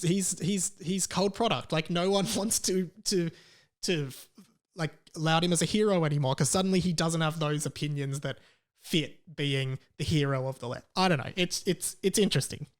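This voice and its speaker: An Australian man talks solo as a podcast host, with a fairly high-pitched voice. His delivery is casual and rambling, full of opinion and happy to swear. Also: moderate pace.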